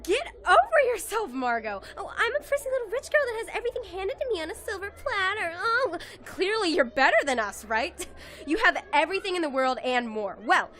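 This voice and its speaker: Young woman as an earnest high-voiced teenage girl. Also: very animated, brisk, sharp tone, heavily acted. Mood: sarcastic, angry. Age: young adult. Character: outspoken, loyal working-class teenage girl. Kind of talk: amateur audio drama acting.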